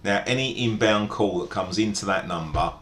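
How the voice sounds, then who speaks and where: Deep-voiced man giving an echoey software tutorial. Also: moderate pace. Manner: methodical, explanatory. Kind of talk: informal step-by-step screen tutorial narration. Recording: echoey room, background hiss and rumble.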